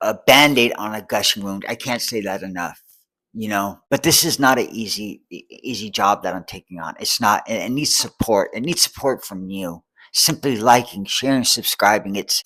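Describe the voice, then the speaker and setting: A man's voice: medium pitch, fairly bright tone. A man in his forties speaking earnestly and with determination in a rambling vlog monologue, restarting phrases and often asking if the listener follows. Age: 40s. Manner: rambling and repetitive, many restarts. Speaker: vlogger and homelessness advocate. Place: Seattle.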